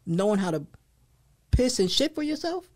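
A man in his thirties hosting a casual podcast chat, talking in a loose, slangy, animated way. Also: high-pitched, normal speed. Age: 30s. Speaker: podcast host.

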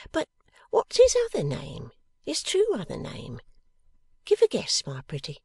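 A woman voicing a warm-hearted, delighted old working-class wife in a classic novel reading, with folksy, exclamatory speech. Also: mid-range voice, huge pitch swings, a little slow, vocal fry, husky, dry recording, pitched above the performer's own voice, heavily acted. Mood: playful, excited.

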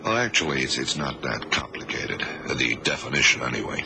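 An actor playing a cool, authoritative government agent in a radio drama, explaining things in a measured, commanding way. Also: male voice, very deep voice, very animated, gravelly, full character voice. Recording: noisy old radio recording.

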